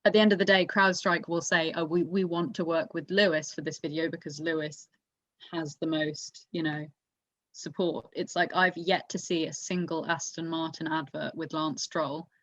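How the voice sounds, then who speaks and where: A woman's voice: low-pitched, little pitch movement, normal speed, a bit bright. A woman chatting casually about motorsport as a podcast co-host, giving her opinions emphatically. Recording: echoey room, dull lo-fi mic.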